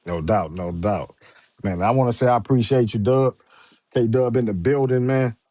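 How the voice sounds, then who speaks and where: A man's voice: medium pitch, normal speed, dark tone, dry recording. A man hosting a show, chatting casually in an informal, slangy way. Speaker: show host. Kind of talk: casual live interview chat.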